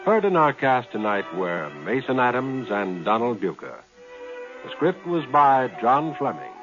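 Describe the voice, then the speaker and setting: A male announcer of medium pitch reads credits in formal old-time radio style. His intonation is very lively. Music sits clearly behind him, and the vintage recording is dull and lo-fi. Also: measured pace. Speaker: announcer reading closing credits.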